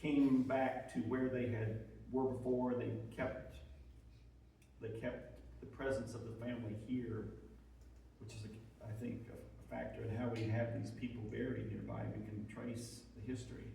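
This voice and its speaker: A man's voice: mid-range voice, flat delivery, normal speed, vocal fry, mellow. Guy giving an informal history talk, thinking it through, super echoey room, mic far off. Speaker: presenter at a local history talk. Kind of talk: informal talk and discussion with audience. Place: indoor hall with an audience. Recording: very echoey room, distant mic, faint noise.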